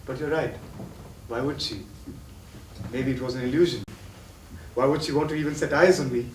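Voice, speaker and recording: An actor playing a tormented, guilt-ridden lover in a theatrical stage drama, with a declamatory delivery that moves between impassioned and brooding. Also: male voice, mid-range voice, steady tone, normal speed, lightly acted. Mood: brooding, remorseful. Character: tormented, guilt-ridden male lover, doubting his own memory. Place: theatre stage, distant microphone. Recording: echoey theatre recording from far off, faint noise.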